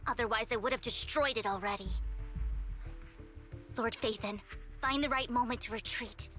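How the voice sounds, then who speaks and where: A woman's voice: fairly high pitch, normal speed, pushed and bright. An actress playing an earnest, troubled young woman in an anime action game, speaking solemnly and formally with a pleading edge. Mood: urgent, guarded. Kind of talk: dramatic video game voice acting. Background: game music.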